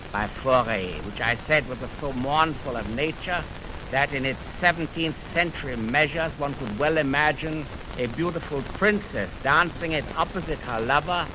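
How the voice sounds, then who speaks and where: A man hosting a 1920s radio music program, lecturing in a formal, oratorical, measured way on a crackly old broadcast recording. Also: mid-range voice. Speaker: radio host introducing orchestral music. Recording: noisy, crackly 1920s optical film radio aircheck.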